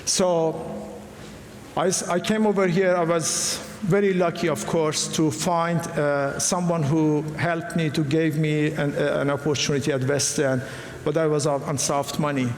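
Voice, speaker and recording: A middle-aged man with an Iranian accent talks earnestly to a gathered audience, often restarting his sentences. His voice sits a little high for a man. The sound rings through a large hall with a long echo and faint room noise behind it. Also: little pitch movement. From Iran. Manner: earnest, halting, frequent restarts. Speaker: guest speaker. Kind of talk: personal talk to a live audience.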